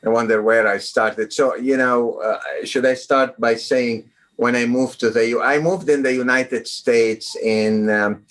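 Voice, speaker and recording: A middle-aged man chats as an interview guest over a video call. His voice sits at an ordinary, middle pitch, and he wanders through his answers with plenty of fillers and restarts. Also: fairly even intonation, unhurried, fairly bright tone. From Lebanon. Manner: rambling, with many fillers and restarts. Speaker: guest oncologist. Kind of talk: casual interview chat.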